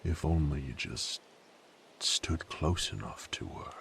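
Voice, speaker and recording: A male actor playing a brooding, rough knight with a violent past in an audio roleplay, speaking wearily in folksy, rough diction. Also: animated, slow, a bit bright, slight background noise, full character voice. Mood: wistful, subdued. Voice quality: very low, gravelly. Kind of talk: dramatic character monologue, audio roleplay.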